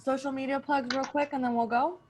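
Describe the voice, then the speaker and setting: A woman's voice: high-pitched, flat delivery, fairly quick, a little mellow. A woman hosting a podcast, chatting casually with a teasing, crude sense of humour. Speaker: podcast host. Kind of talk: casual improvised podcast chat.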